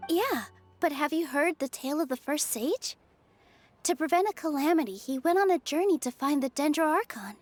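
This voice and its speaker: Game role: sweet-natured young woman, high voice, earnest and slightly hesitant, light music behind. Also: lively intonation, normal speed. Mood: curious, storytelling. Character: gentle, earnest, wide-eyed young woman, a festival dancer. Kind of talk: video game voice acting.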